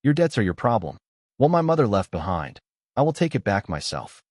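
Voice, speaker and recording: A man's voice: fairly low pitch, very animated, a little fast, a little mellow, dry recording, clean studio sound. A man reading as a cold, resolute young heiress.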